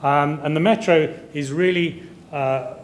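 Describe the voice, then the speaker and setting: Higher-pitched middle-aged man presenting. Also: measured pace. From Kuwait. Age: 50s. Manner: hesitant, rambling, often repeating words. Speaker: conference speaker, software company director. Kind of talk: presentation to developers. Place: hotel conference room, indoors.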